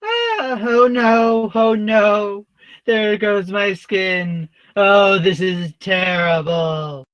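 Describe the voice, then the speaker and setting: A woman's voice: medium pitch, expressive, very slow, dry recording, muffled recording. A woman playing an overdramatic, talkative dying male soldier in a comic radio play, wailing melodramatically. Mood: agonised wailing.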